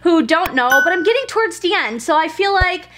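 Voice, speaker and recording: A bright, high-voiced young woman from Germany, a native German speaker, talking straight to camera. She chats candidly and loosely, full of fillers and little self-asides, in the tone of a lifestyle vlog. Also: lively intonation, fairly quick. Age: 20s. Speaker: lifestyle vlogger. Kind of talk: casual talk to camera.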